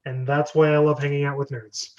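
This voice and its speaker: A man's voice: fairly high pitch, little pitch movement, brisk. A man hosting a script reading, chatting casually and jokily as he explains and directs. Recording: dull, lo-fi sound.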